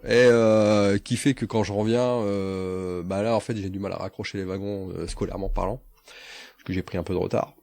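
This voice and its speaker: Interview guest: a low-voiced former commando in his forties, easygoing and halting. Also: creaky, no echo. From northern France. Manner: relaxed, halting, laughs often. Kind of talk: casual interview talk.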